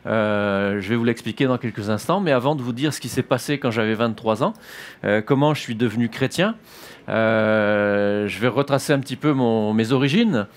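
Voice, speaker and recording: A man in his fifties from northern France with a French accent, a military chaplain giving his testimony in church, pausing often with fillers. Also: medium pitch. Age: 50s. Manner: hesitant, many "euh" fillers and restarts.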